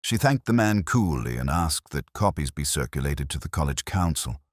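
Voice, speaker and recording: Guy with a super low voice narrating a detective mystery, brooding and literary, pitch moves around a lot. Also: very animated, normal speed, dry recording, clean studio sound. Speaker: audio drama narrator. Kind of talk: scripted first-person narration of a detective mystery.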